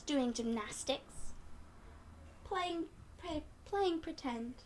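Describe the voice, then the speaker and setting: A woman storyteller speaks to young children in a high voice at an unhurried pace. She is gentle and encouraging, reading expressively and addressing her listeners directly. Some room noise sits behind her voice. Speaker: children's read-aloud storyteller. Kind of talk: expressive picture-book read-aloud for young children.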